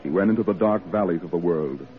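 A man's voice: sits low, quick pace. A man narrating a 1940s radio drama in a grave, oratorical manner. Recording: tinny 1940s radio recording, music behind.

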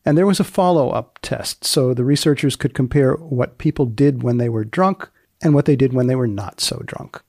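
Gravelly man, deadpan podcast host. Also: mid-range voice, no echo, studio quality. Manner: deadpan, measured, deliberately formal phrasing. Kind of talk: scripted podcast narration.

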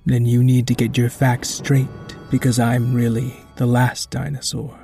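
A man voicing a weary, wise old male triceratops in a children's story, speaking slowly and low over faint music. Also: completely flat delivery, gravelly, dark tone. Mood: grave. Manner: slow, low, grave.

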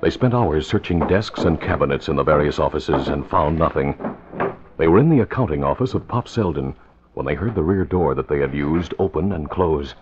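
A man's voice: extremely low pitch, lively intonation, fairly quick, over music. A man narrating a vintage radio drama from a script in a formal, dramatic manner. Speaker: narrator and announcer. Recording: dull old radio recording.

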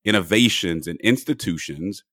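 A man hosting a podcast in relaxed conversational talk, his voice a little hoarse and cracking. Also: deep voice, moderate pace, dry recording, studio quality. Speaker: podcast host. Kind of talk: casual podcast chat.

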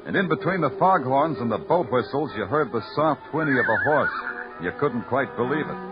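An actor playing a dry, wisecracking police lieutenant who narrates a 1940s radio detective drama. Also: male voice, medium pitch, lightly acted. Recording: lo-fi old radio broadcast with music.

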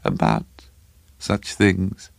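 A man's voice: sing-song, creaky, a little mellow, breathy edge, dry recording, exaggerated voice. Breathless, stammering little madman. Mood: conceited, guarded. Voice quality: low, breathless, bubbling impediment, sometimes dying away. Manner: stammering, halting, confiding. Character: small bearded madman, conceited, furtive, muttering.

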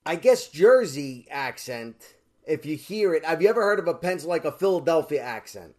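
A man's voice: a little high, very animated, normal speed, a little mellow. Rambling Brooklyn-accented man. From Brooklyn, New York. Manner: rambling, with many fillers and restarts. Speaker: actor and comedian guest. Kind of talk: casual interview chat.

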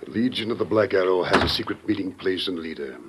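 An actor playing a grave, authoritative president in old-time radio, with stately, earnest, oratorical delivery. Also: male voice, sits low, fast, background noise, close to an everyday voice. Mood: grave, rousing. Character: grave, fatherly, authoritative American president of frontier days.

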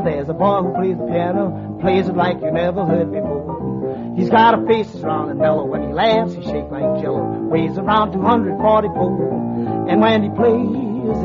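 A jovial bar pianist, sings a jazzy tune in a high voice. Loud plucked guitar plays behind him. It is a dull, lo-fi old radio recording. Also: male voice.

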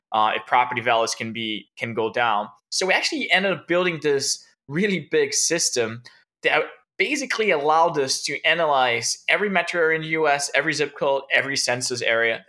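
A man's voice: medium pitch, fairly quick, bright, forceful tone. A man from Brazil, a tech founder and guest in an interview, explaining in a rambling way with lots of "like" and restarts. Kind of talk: casual interview talk.

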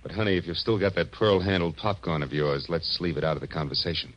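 An American actor playing a tough, wry private detective in a 1940s radio drama, with clipped, slangy, wisecracking delivery. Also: male voice, low voice, fairly even intonation, fast talker, close to an everyday voice. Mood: probing, wry. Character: tough wry male private detective narrating his case. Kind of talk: 1940s radio acting, hardboiled first-person narration. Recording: dull, noisy old radio recording.

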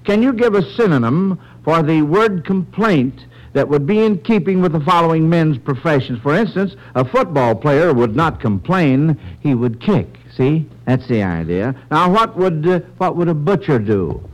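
Male quiz host, old-time radio style. Higher-set voice, halting, agreeable, encouraging delivery. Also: lively intonation, rough recording.

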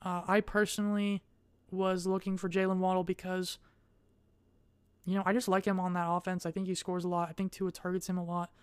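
A young adult woman vlogging in a casual, rambling way. She speaks in a monotone and hesitates often, with many fillers. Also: fairly low pitch, brisk, smooth, no room sound.

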